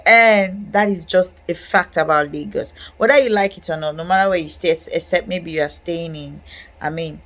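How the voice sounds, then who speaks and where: A young woman with a Nigerian accent talks straight to camera as the host of a property channel. Her voice sits a little low. She keeps a loose, conversational flow, peppered with "okay", "guess what" and quick laughs. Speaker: real estate channel host. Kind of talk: casual talk to camera.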